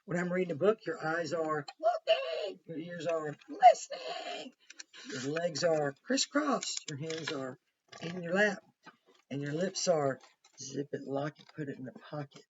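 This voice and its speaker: A woman's voice: deep voice, big pitch swings, a little slow, a little mellow, muffled recording, a little hiss or hum. A woman kindergarten teacher reading a picture book aloud to young children and explaining it simply as she goes.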